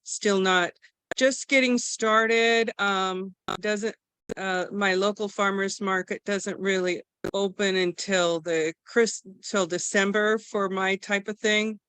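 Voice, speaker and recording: Woman, slow, smooth clear voice, hesitant, dry video-call sound. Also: mid-range voice, fairly even intonation, fairly bright tone, no echo. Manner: hesitant, many "uh" fillers. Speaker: workshop participant. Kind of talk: self-introduction on a video call.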